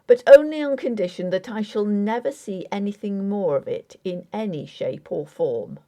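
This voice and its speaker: A woman acts out a Victorian squire in a gothic audiobook tale. He is proud and immovable, making formal pronouncements with strong emphasis. The tone is mellow, yet the pitch moves widely from phrase to phrase. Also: expressive, normal speed, natural voice. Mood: dryly condescending. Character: resolute, haughty Victorian gentleman squire.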